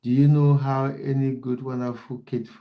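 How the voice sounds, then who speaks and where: Mellow-voiced man livestreaming. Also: mid-range voice, little pitch movement, moderate pace. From Cameroon. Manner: repeats phrases, talks straight to viewers. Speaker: football coach. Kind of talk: livestream chat, reading out viewer comments.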